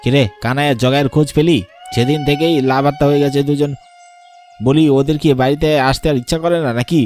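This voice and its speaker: Guy doing a bossy village landlord in a horror cartoon, highish voice, scolding, suspicious, music behind. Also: fairly even intonation, fairly quick, a little mellow, natural voice. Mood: irritated. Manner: scolding, accusing, imperious. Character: suspicious, bullying male landowner and farm master. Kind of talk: dramatised horror cartoon dialogue. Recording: music bed under the voice.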